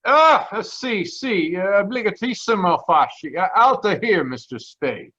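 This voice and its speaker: An actor playing an anxious Italian father and shopkeeper in a radio drama, with an Italian accent and a halting, hesitant manner. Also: high-pitched, lively intonation, bright, forceful tone, highs cut off, exaggerated voice. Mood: flustered, polite. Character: anxious Italian father, wax museum proprietor.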